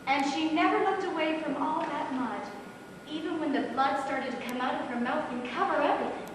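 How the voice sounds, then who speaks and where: Woman acting high-voiced eerie mother, echoing stage. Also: brisk, natural voice. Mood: morbid, brightly unsettling. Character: eerily serene, morbidly reminiscing mother. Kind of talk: live amateur stage play acting. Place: small theatre stage, distant microphone. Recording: echoey, noisy theatre recording from far off.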